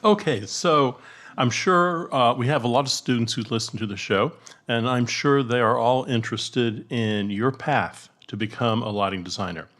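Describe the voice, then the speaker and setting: A man co-hosting a podcast, talking in a relaxed, friendly way. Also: mid-range voice, moderate pace. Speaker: podcast co-host.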